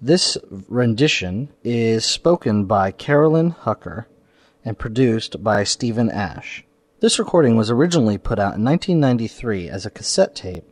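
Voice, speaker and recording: A man's voice: lowish voice, no echo. A man hosting a podcast, giving spoken introductions and announcements. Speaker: podcast host.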